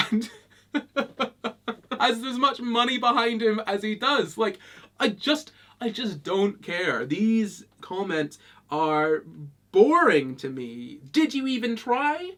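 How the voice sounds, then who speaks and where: A man's voice: high voice, a bit bright. A young man with a Scottish accent from Britain, reading hate comments aloud and responding in a dry, amused, casual way. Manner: dry, amused, laughs often. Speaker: YouTuber.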